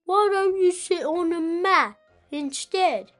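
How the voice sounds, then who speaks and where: A man's voice: very high voice, slow pace, fairly bright tone, faint music behind, voice pushed up, heavily acted. An eight-year-old boy voicing an eager, helpful storybook dog who keeps offering suggestions. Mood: curious. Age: child, 8 years old.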